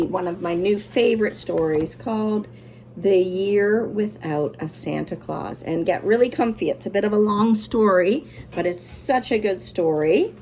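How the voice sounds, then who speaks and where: A woman's voice: medium pitch, darkish tone, a little hiss or hum. A woman teacher doing a children's read-aloud, with simple, encouraging explanations for young listeners.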